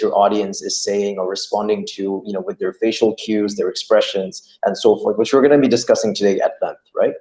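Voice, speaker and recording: Young male workshop presenter, lowish voice, casual and rambling, roomy call audio. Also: darkish tone. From Iran. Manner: chatty, many fillers like "you know" and "like". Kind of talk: online training talk. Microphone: video call.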